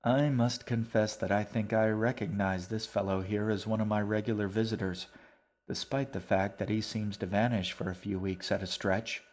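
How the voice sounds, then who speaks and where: Guy doing a kindly wordy old man in a storybook, low-ish voice, takes his time. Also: fairly even intonation, moderate pace, a little mellow, close to an everyday voice. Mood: expansive. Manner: long-winded, formal, good-natured. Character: genial old bearded man, wordy and grand. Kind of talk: audiobook character voice.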